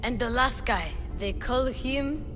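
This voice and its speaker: A cheeky child from a video game. The voice is fairly high and youthful, with a candid, matter-of-fact delivery over soft music. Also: female voice, slow. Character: cheeky, candid child searching for truth about father. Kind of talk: video game cutscene acting. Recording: faint music underneath.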